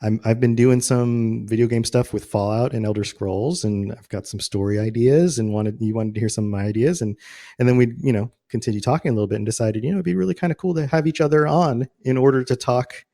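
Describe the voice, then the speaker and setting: A man's voice: mid-range voice, fairly quick, mellow. A man hosting a podcast interview in a casual, conversational style.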